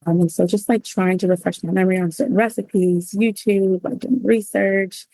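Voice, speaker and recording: A woman from Cameroon who moved to the United States, telling her own story as an interview guest in a reflective, informal, pausing way. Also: medium pitch, fairly even intonation, mellow, no echo. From Cameroon, then the United States. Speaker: interview guest, cookbook author. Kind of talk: personal storytelling in a conversational interview.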